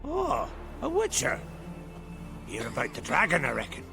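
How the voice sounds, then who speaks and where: A creaky, hugely animated hardened raider from a fantasy game. His speech is blunt and brusque, swooping up and down over clear music. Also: fairly high pitch, moderate pace, full character voice. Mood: brusque, impatient. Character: hardened, blunt, boastful male village raider. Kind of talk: video game character acting.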